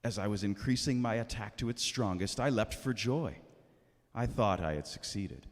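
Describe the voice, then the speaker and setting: A man's voice: fairly low pitch, moderate pace, natural voice. A man playing Agony personified as a cruel, relentless, gloating spirit in a grave, dramatic church reading. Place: church sanctuary during a service. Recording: reverberant church hall.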